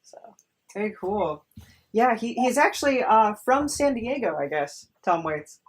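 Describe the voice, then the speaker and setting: A woman's voice: mid-range voice, lively intonation, measured pace, slightly rough. A woman hosting a podcast, chatting casually as she interviews a guest.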